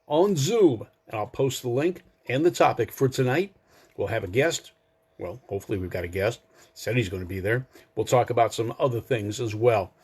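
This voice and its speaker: A man's voice: medium pitch, husky. A middle-aged or older American man hosting a car enthusiast show, chatting casually and directly to his listeners in a folksy, conversational way. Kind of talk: casual live show chat with trivia.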